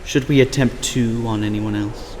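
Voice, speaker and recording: A man's voice: medium pitch, steady tone, normal speed, a little mellow, natural voice. A man voicing a plain-spoken, curious vampire schemer who casually asks questions in a scripted fantasy drama. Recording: long reverb, clearly noisy background.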